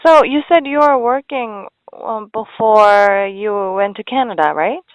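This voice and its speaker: A woman's voice: on the high side, measured pace, no room sound. A woman interviewing in casual conversation, attentive and prompting with short questions. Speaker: interviewer, English conversation partner. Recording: tinny phone-like audio.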